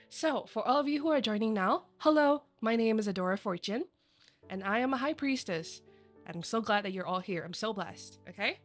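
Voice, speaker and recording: A woman whose first language is Chinese, chatting casually with her viewers on a live stream, bubbly and quick to giggle. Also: a little high, a bit bright. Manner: bubbly and giggly, laughs often; chatty, rambling asides.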